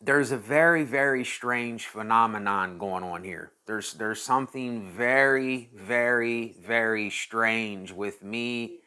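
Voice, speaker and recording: A man's voice: on the low side, slow pace, hardly any pauses, pushed and bright, clean tone. A man in his late thirties hosting his own channel, talking casually and at length in a rambling monologue, repeating phrases as he thinks aloud. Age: 30s. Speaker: channel host.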